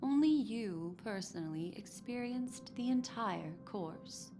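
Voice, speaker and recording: A woman's voice: fairly high pitch, lively intonation, slow. An actress voicing an enigmatic, teasing and seductive woman in a video game, poised and coy with lingering, theatrical phrasing. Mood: teasing, alluring. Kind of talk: scripted video game character dialogue. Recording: clean voice over game music.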